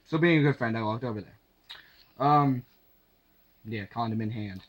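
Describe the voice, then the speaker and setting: A young man telling a casual anecdote to camera in a halting, sweary way, acting out the dialogue as he goes. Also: mid-range voice. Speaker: storyteller.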